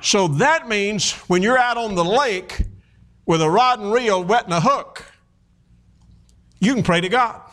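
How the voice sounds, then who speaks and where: Animated folksy preacher, high lively voice. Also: male voice, measured pace, long pauses. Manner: folksy, animated storytelling with many restarts and fillers. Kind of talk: sermon.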